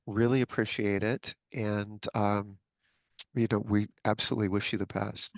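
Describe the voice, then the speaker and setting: A man hosting an online interview, asking relaxed questions padded with fillers. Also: on the low side, normal speed, creaky, dry recording. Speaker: interview host. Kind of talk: conversational interview.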